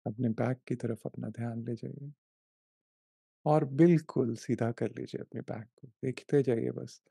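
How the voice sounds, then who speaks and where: A man leading a guided meditation, giving gentle, unhurried instructions in short repeated phrases with long pauses. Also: medium pitch, fairly even intonation, gravelly, slightly rough, no echo, studio quality. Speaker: meditation guide, life coach.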